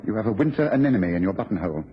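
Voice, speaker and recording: Sharp-witted english detective, radio play, quick and precise, swoopy intonation, slightly noisy old tape. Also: male voice, fairly low pitch, sing-song. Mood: knowing. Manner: brisk, precise, knowing. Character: shrewd, self-assured male detective. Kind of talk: British radio drama acting. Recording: dull old radio recording, faint noise.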